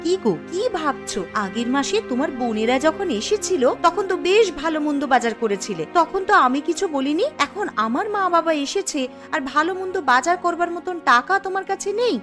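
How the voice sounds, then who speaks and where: A woman's voice: sits high, lively intonation, fairly quick, music in the background. A woman voicing a bossy married daughter and housewife in a Bengali moral-story cartoon, exclaiming and insistent. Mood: insistent, nagging.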